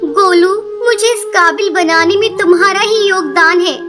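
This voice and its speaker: A sweet, eager young bird girl from a cartoon. The voice is extremely high and childlike, with an earnest manner. Music plays clearly behind it. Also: normal speed. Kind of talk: Hindi cartoon story acting. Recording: music under the voice.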